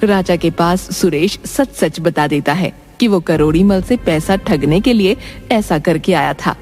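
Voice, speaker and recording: A woman narrating a children's cartoon story in a plain, steady storytelling manner. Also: on the low side, a little slow, soft background music.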